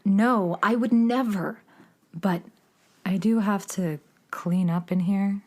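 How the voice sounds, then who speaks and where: A woman playing a cool, reluctant young beatnik cat-girl from the Moon in a children's radio play, with deliberate phrasing. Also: medium pitch, darkish tone. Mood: apologetic, calm. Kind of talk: children's radio theater acting.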